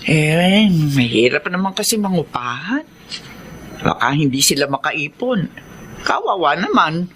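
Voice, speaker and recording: A woman's voice: deep voice, big pitch swings, unhurried, a bit bright, slight background noise, heavily acted. An actress playing a loving, anxious middle-aged mother and grandmother who pleads for peace in a Filipino radio drama. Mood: gentle, pleading.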